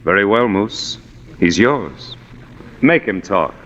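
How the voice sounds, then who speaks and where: A man's voice: on the low side, expressive, slow, a bit bright, exaggerated voice. An actor playing a scheming, politely menacing male villain in formal 1940s radio drama style. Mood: commanding. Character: smooth, scheming male villain after stolen jade. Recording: muffled reverberant vintage radio recording.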